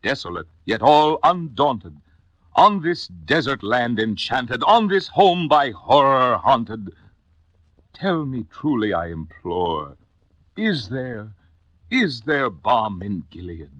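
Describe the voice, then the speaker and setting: A man's voice: medium pitch, very animated, takes it slowly, a bit bright, no echo, voice pushed up, full character voice. A haunted, desperate man, wildly swooping. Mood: desperate pleading. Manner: theatrical, impassioned, declamatory. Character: grief-maddened man, haunted, desperate, pleading and raging. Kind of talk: 1950s dramatic poetry recitation.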